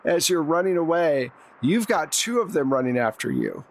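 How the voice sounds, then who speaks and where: A man's voice: a little high, sing-song, normal speed. A man acting as game master, narrating scenes to players in a conversational way and asking them for rolls. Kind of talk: narrating a tabletop roleplaying game.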